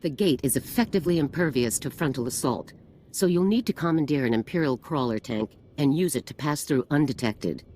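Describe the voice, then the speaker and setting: An actor playing an authoritative, composed general who briefs troops in a formal, crisp military way in a video game. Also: male voice, on the high side, lively intonation, brisk, darkish tone. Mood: commanding, composed. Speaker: military commander giving briefing. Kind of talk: scripted video game dialogue.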